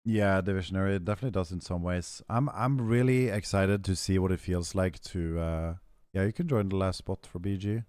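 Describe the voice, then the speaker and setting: A young man with a deep, low voice talks to his stream viewers in a loose, rambling way. His throat is rough and hoarse, and he keeps clearing it. The sound is dry and close. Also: brisk, a little mellow, dry recording. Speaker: game streamer. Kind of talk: casual stream chat, answering chat.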